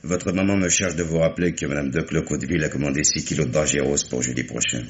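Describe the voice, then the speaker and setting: A man's voice: rumbling low, fairly even intonation, fast talker. An actor playing a meek, formal, apologetic young woman's fiancé in a French radio mystery, speaking deferentially.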